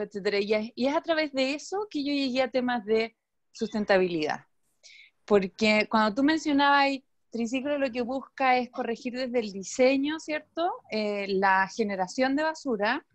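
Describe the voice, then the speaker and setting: A woman's voice: sits high, dry recording. A woman in her thirties with a Chilean accent, chatting casually as a guest and explaining her work with many fillers. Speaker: guest, company general manager. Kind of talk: casual video-call conversation.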